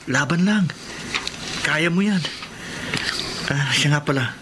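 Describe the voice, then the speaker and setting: A man's voice: fairly high pitch, animated, takes it slowly. An actor playing a supportive male buddy with a Filipino accent in a radio drama. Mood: encouraging. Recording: noisy radio drama track.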